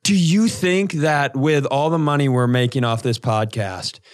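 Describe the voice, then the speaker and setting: A man co-hosting a podcast, telling comic stories in a casual, animated, sweary way and acting out quotes. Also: medium pitch, unhurried. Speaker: podcast co-host. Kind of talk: casual comedic storytelling chat.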